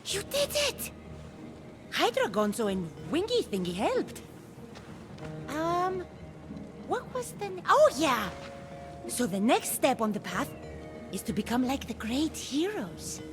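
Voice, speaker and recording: An actress playing a scatterbrained, blunt and excitable goddess mentor in a video game, halting as she goes. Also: high voice, heavily acted. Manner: halting, flighty, childlike enthusiasm. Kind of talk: video game cutscene voice acting. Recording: loud music behind the voice. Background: music.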